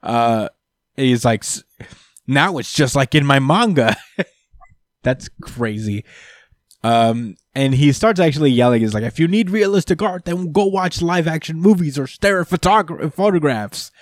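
A man's voice: fairly high pitch, animated, a little slow, no room sound, studio quality. A man co-hosting a casual podcast, recapping a manga with lots of fillers and acted-out quotes. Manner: hesitant, lots of fillers, retells scenes with quoted lines. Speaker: podcast co-host.

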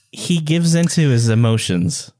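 A man's voice: medium pitch, a little mellow. A man co-hosting a podcast, talking casually about a book and repeating words as he thinks aloud. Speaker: podcast co-host.

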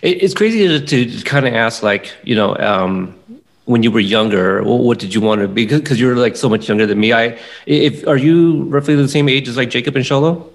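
A man's voice: mid-range voice, brisk. A man in his late thirties hosting a casual interview, asking friendly, rambling questions. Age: 30s. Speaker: podcast host.

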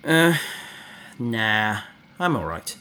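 An actor playing a preoccupied, gently stubborn space traveller in a naturalistic sci-fi audio drama, hesitant and often trailing off. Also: male voice, lowish voice, sing-song, very slow, heavily acted. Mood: subdued.

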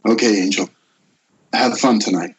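A man playing a gruff, middle-aged father, awkward with feelings, in an amateur script table read. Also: lowish voice, moderate pace. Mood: awkward, tender. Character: Alice's gruff, awkward father, a recovering drinker. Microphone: Skype call. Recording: dull lo-fi internet call.

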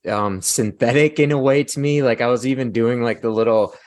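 A man chatting casually on a roundtable podcast, speaking in a halting, repetitive, earnest and appreciative way. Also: mid-range voice, fairly even intonation, darkish tone, no room sound. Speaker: podcast panelist and amateur actor.

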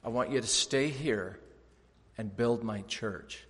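A man voicing God as a calm, firm, slow male speaker. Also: mid-range voice, big-room sound.

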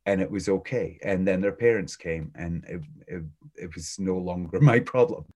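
Low-voiced man with a booming voice. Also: normal speed, a little mellow. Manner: thoughtful, rambling with restarts. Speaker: preschool teacher. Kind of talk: casual interview chat.

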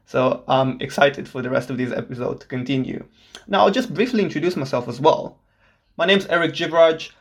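A man's voice: medium pitch, expressive, a little fast. A man in his twenties from Portugal hosting a podcast, speaking earnestly and enthusiastically. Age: 20s. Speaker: podcast host. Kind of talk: scripted podcast introduction.

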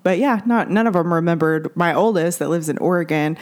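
A woman's voice: on the low side, fairly quick, darkish tone. An American woman in her forties chatting casually and candidly as a co-host, with some swearing. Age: 40s. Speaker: podcast co-host. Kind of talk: casual chat.

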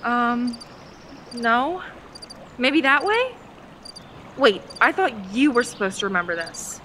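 A woman acts a bratty young girl in an audio drama, stubborn and petulant. The voice is bright, sharp and fairly high, with faint cricket-filled night ambience behind it. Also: animated, takes it slowly, slight background noise, heavily acted. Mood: annoyed, taunting.

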